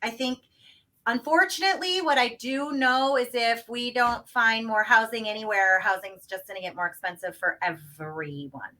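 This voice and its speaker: A woman's voice: a little high, moderate pace, sharp tone. A woman in a casual podcast interview, explaining home financing step by step with many fillers. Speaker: guest, home financing expert.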